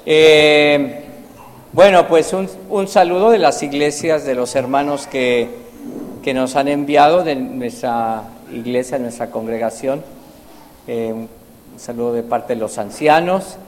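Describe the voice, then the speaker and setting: Slow Mexican-accented preacher in a hall. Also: male voice, medium pitch. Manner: slow, halting, repeats words. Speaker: visiting preacher. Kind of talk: sermon with prayer and scripture reading. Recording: long hall reverb, faint noise.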